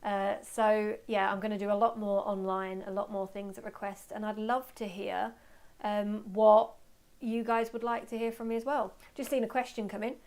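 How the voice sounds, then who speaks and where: Female webinar presenter, medium pitch, informal and talkative, excitable and self-deprecating, speaking live to learners. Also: moderate pace. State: voice slightly going from talking. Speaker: photography workshop presenter. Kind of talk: informal live webinar talk with audience questions.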